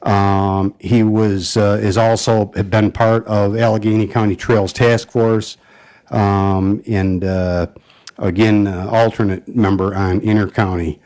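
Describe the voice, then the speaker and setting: A man reading out a colleague's record at a county board meeting, pausing often with fillers. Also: fairly low pitch, little pitch movement, darkish tone. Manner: halting, many "uh" and "um" fillers. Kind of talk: formal tribute speech at a meeting.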